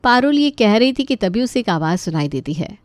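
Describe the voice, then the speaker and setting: A woman's voice: medium pitch, sing-song, a little fast, no room sound. A woman reading a scripted story as the narrator.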